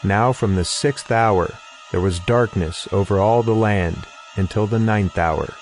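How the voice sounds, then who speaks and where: A man's voice: fairly low pitch, measured pace. A man giving a scripted narration of an audio Bible. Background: music behind the voice.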